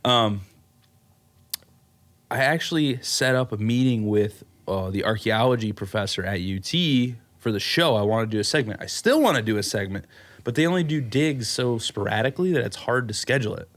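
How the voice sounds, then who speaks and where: A young man, hosting a podcast in casual chat, blunt and quick to laugh. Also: mid-range voice. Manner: animated, laughing, blunt and joking. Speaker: podcast host.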